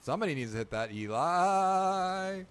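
High-voiced excitable young man gaming. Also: normal speed, slight background noise. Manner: excitable, swearing, laughing often. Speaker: gaming channel co-host. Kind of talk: casual gameplay banter with friends.

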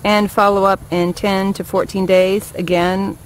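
A woman talks plainly and practically, explaining things step by step with the odd um, her voice at an ordinary mid pitch. She is recorded outdoors in a backyard on a handheld camera. Faint outdoor noise sits under her voice. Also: flat delivery, unhurried, rough recording. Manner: plain, matter-of-fact explaining with ums. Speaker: backyard chicken keeper. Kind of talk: casual informational vlog.

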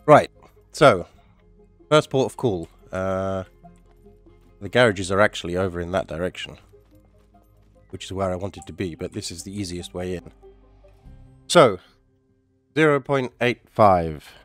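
A man's voice: sits low, unhurried, long pauses, no echo, faint music behind. A man with a stuffy, nasal voice from a cold, chatting casually while commentating a survival game. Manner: chatty, rambling, mild swearing. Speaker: gaming YouTuber.

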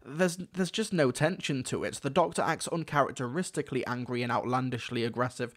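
Male reviewer, medium pitch, wry and emphatic, delivering opinionated scripted commentary. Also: a little fast. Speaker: reviewer, host.

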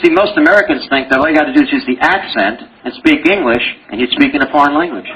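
A man's voice: fairly high pitch, fast talker, a bit bright, muffled recording, slight background noise. Joking male medical lecturer. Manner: joking, quizzes audience with rhetorical questions. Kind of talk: exam review lecture.

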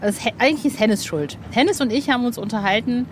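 A woman's voice: fairly high pitch, a little fast. A woman hosting a casual, chatty interview with gushing enthusiasm, often correcting herself as she goes. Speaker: podcast host interviewing an author. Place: outdoor roof terrace. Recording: ambient noise from an outdoor terrace.

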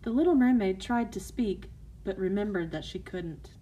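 Mellow woman storyteller. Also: medium pitch, moderate pace, a little hiss or hum, low-quality recording. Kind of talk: scripted fairy tale narration for children.

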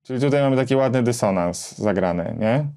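A man in his thirties explaining a gear comparison conversationally, with hesitant pauses as he thinks. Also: medium pitch, animated, dark tone, soft background music. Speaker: gear reviewer and co-host. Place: small home music studio, indoors.

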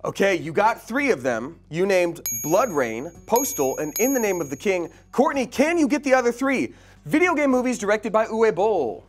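A young man hosting a casual, jokey game show in a studio, playfully dramatic with deadpan asides. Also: high-pitched, moderate pace, faint music behind. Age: young adult. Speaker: quiz show host. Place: indoor studio set.

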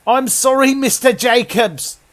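Man acting a high-pitched, flustered gentleman shopkeeper. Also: a little slow. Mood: flustered, apologetic. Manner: exclaiming, deferential, effusive. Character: flustered, eager antique shopkeeper, respectable gentleman, slightly sly. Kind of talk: dramatised horror storytelling.

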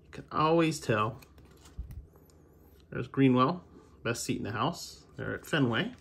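An American man with a somewhat husky, unpolished voice at a medium pitch. He talks casually as a hobby host, thinking aloud and rambling from one remark to the next. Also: expressive, unhurried, a bit bright. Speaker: video host, baseball card collector. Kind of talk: casual unscripted chat, commentary while opening card packs.

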